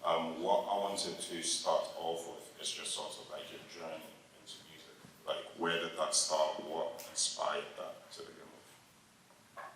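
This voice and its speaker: A man's voice: a bass voice, flat delivery, measured pace, fairly bright tone, a little hiss or hum. A young man hosting a panel, upbeat and encouraging, with frequent ums. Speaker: panel host. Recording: echoey hall, mic far off.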